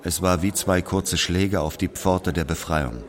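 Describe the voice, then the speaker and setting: A man playing an aging Algerian who confesses an old killing in a brooding, deliberate literary monologue. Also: low voice. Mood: somber. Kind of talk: literary monologue, dramatic audio narration.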